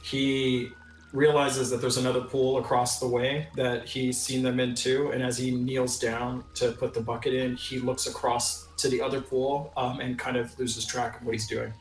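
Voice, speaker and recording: Man, tabletop player improvising, very even pitch, echoey distant recording. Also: normal speed, slight background noise. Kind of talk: improvised roleplay narration. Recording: roomy echo, away from the mic.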